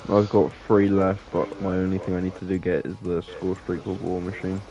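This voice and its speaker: Deadpan low-voiced teen gamer. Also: male voice, brisk, muffled recording. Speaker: streamer and co-host. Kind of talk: casual gaming stream banter.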